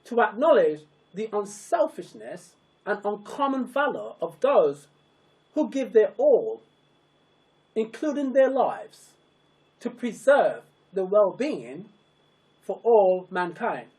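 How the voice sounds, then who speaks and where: A narrator reads a formal public address very slowly. The pitch moves widely from phrase to phrase. The delivery is solemn and emphatic, with sentences broken into short, weighty pieces. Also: very animated, darkish tone, poor audio. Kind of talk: scripted, declamatory public address.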